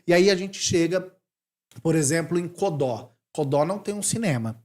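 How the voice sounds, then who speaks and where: A man from Brazil in his forties, a missionary talking casually in an interview, animated and thinking aloud with restarts. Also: a little high, normal speed. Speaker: missionary guest.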